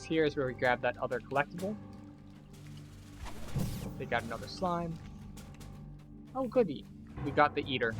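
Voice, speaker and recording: A man giving a casual walkthrough commentary as he plays a video game. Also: mid-range voice. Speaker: gameplay guide and streamer.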